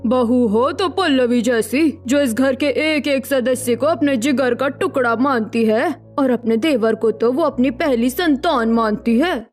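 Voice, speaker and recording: A woman's voice: sits high, a little slow, few pauses. A woman voicing an admiring, gushing village neighbour in a story. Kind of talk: scripted story dialogue.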